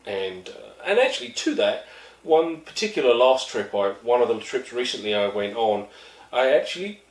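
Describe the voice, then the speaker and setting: A man's voice: mid-range voice, sing-song, normal speed. Wry East London man, roomy sound. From East London, England. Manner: wry, self-deprecating, with quoted voices. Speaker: vlogger telling stories. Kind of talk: casual storytelling monologue. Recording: echoey room, mic at a distance.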